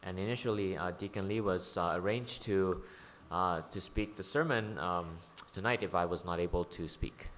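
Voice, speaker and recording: A man's voice: deep voice, moderate pace, fairly bright tone, a little hiss or hum. A man interpreting a sermon into English, hesitant, with frequent ums and uhs. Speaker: church interpreter.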